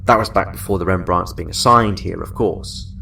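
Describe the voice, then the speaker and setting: An actor playing a genial senior admiral in a science fiction audio drama, measured and formal, sounding a little away from the mic. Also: male voice, low voice, creaky, pushed and bright, recorded from a distance, slight background noise, heavily acted. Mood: formal, briefing. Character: genial, senior male admiral, chatty then businesslike.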